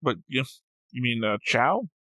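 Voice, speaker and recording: A man with a very bright, forward voice at a middling pitch, recorded close and dry. He co-hosts a reaction show, debating casually and pedantically, correcting fine points. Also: very animated, unhurried, no echo. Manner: pedantic, correcting his co-host. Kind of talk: casual argumentative discussion.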